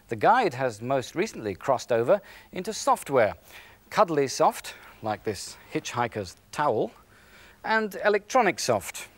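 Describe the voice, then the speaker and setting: A middle-aged British man presenting a scripted television piece to camera in a studio. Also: mid-range voice.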